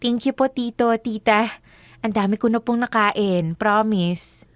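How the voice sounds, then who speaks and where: A woman's voice: on the high side, measured pace, no room sound, natural voice. An actress playing a sweet, polite young Filipino girlfriend, gushing and giggly, in a radio drama. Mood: polite, grateful. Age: young adult.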